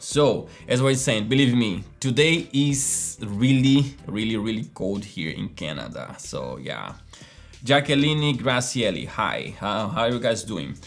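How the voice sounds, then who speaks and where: A man's voice: on the low side, sing-song, measured pace, creaky. Chatty man, faint music behind. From Brazil. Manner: chatty, lots of fillers, keeps asking "right?" and "okay?". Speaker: host of a live coding stream. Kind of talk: casual live-stream coding lesson. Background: faint background music.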